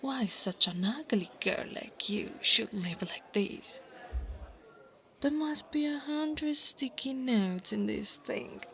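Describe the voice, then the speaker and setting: A woman's voice: on the high side, expressive, takes it slowly, creaky, a bit bright, full character voice. A woman playing a haughty, insecure young dragon girl bully with a sneering, taunting manner in an ASMR roleplay. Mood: smug, mocking. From Italy.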